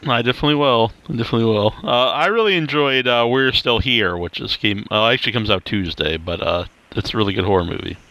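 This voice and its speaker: A man hosting a casual interview, with hesitant, halting phrasing. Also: mid-range voice, bright, forceful tone, poor audio. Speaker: podcast interviewer, host.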